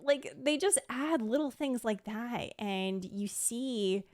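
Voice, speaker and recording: A woman's voice: fairly high pitch, lively intonation, slow pace, a little mellow. A woman streamer giving casual, gushing commentary as she reacts to something she is a fan of. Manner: gushing, enthusiastic. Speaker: streamer and video creator.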